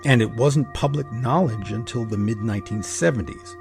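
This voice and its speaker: A man narrating a scripted history documentary as host, in a measured, emphatic manner. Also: medium pitch, creaky, over music.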